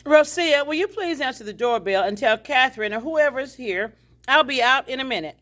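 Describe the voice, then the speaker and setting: A woman's voice: sits high, animated, moderate pace, fairly bright tone. A woman reading the part of a genteel, chatty, gracious older widowed hostess in a stage play. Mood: politely instructing. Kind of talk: stage play table read.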